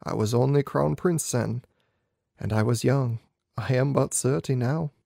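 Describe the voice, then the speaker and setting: Man playing gravelly, anxious young king. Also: medium pitch, a little slow, dark tone, dry recording, studio quality. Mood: regretful. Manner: solemn, emphatic, regretful. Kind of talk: amateur audiobook character reading.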